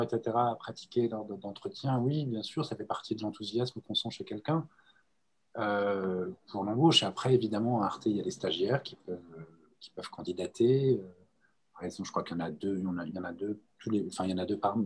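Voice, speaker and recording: Husky middle-aged man, rambling. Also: on the low side, fast. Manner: relaxed, rambling, repeats himself. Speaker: panelist, broadcaster staff. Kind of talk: online panel talk. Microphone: video call.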